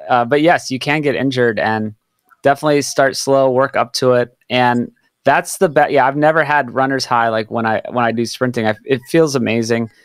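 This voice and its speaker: A man's voice: mid-range voice, fairly even intonation, moderate pace, clear, resonant tone, dry recording. Eager man host at a studio mic. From Hawaii. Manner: eager, repeats words, stumbles often. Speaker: podcast host. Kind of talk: casual interview chat. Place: home studio. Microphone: studio mic on boom.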